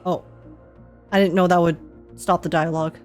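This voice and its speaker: A woman giving excitable, slangy, casual commentary as she streams and reacts to a video game's story. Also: on the low side, moderate pace, over music. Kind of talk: casual gaming livestream commentary.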